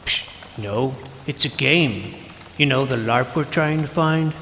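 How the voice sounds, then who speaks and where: A man's voice: medium pitch, takes it slowly, bright, forceful tone, a little hiss or hum. A man playing a naive, self-doubting, geeky boy who narrates his fantasy adventure in a wry, youthful, earnest way. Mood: eager. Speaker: narrator and lead character. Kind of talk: first-person scripted fantasy narration and dialogue. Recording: music behind the voice.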